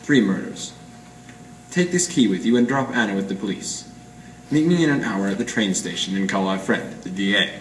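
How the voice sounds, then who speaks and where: An amateur actor playing a hard-boiled 1940s private eye in a radio noir, clipped, deadpan and slangy. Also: male voice, lowish voice, normal speed, close to an everyday voice. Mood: urgent, commanding. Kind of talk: 1940s radio noir acting with first-person narration. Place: live presentation in a roomy gallery. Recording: echoey room, distant mic, faint noise.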